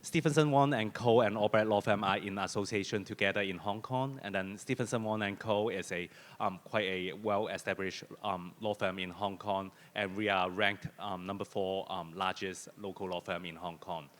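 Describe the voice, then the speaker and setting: A man with an Australian accent speaks on a conference panel. His voice sits very low, and his delivery is halting, with frequent pauses between phrases. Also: steady tone, big-room sound. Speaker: panelist, lawyer. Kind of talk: panel self-introduction. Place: conference hall.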